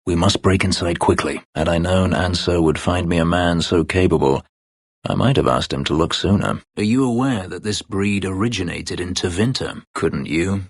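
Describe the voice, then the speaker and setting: An actor playing a proud, bitter former slave warrior in a video game, speaking tersely and formally in a husky, gravelly voice. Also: male voice, on the low side, dry recording, studio quality. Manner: terse, formal, brooding delivery. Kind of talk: video game character dialogue.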